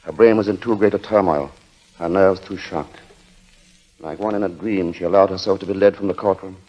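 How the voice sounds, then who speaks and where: An actor as a grave, ominous narrator, telling a 1940s radio suspense story in deliberate, dramatic phrases. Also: male voice, sits low, steady tone. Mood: somber. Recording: dull lo-fi old radio recording.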